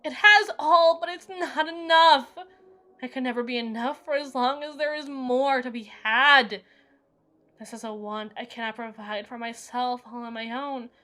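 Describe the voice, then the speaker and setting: A woman's voice: sits high, moderate pace. A woman playing an unstable, brooding evil queen in an intense, theatrical audio roleplay, speaking in formal, dramatic monologue. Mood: desperate craving. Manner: theatrical, formal, intense, swinging between hushed and forceful.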